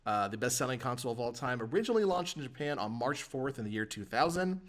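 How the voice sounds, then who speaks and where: A man's voice: medium pitch, big pitch swings, quick pace, fairly bright tone. A man hosting a podcast, presenting entertainment news and chatting casually about it. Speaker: podcast host. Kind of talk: reading news and discussing games in casual podcast talk.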